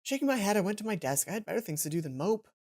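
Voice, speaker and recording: A woman's voice: medium pitch, lively intonation, racing, a little mellow. A woman doing a let's play, reading a visual novel's story aloud dramatically and dropping in casual asides about her own slips. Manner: acts out dialogue, stumbles and comments on own slips. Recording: music under the voice. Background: game music.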